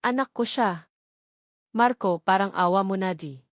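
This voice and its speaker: A woman's voice: medium pitch, unhurried, no room sound, clean studio sound, lightly acted. A woman voicing a meek young pregnant woman in a romance story, desperate and tearfully pleading.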